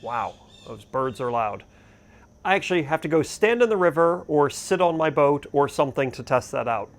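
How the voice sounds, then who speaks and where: A man's voice: fairly high pitch, lively intonation, normal speed. A man talking casually to camera as the host of a boating video. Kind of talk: casual vlog talk to camera. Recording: noisy outdoor background sound.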